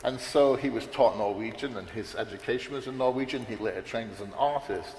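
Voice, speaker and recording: A man's voice: medium pitch, fairly quick, a little mellow. A man on a conference panel, talking in a rambling, unscripted way with many restarts and fillers. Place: large echoey hall. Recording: roomy hall reverb, faint background noise.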